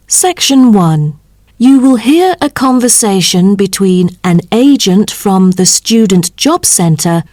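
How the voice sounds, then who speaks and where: A woman reading scripted listening test instructions as an announcer, in a measured, formal, instructional manner. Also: medium pitch, darkish tone, no room sound, clean studio sound. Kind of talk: scripted exam narration.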